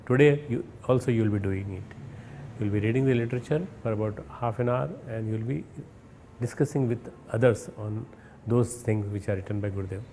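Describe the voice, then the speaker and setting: A man with an Indian accent giving a recorded spiritual message, earnest and instructive, often pausing and restarting words. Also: lowish voice, warm tone, a little hiss or hum. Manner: hesitant, repeats and restarts words. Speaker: spiritual leader.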